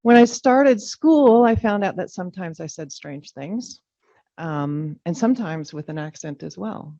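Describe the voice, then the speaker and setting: A middle-aged woman, a Danish native speaker, giving a reflective, halting farewell speech as chair of a public meeting. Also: fairly low pitch, animated, dark tone. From California. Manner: reflective, halting, with frequent ums. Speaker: commission chair. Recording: dull lo-fi meeting audio.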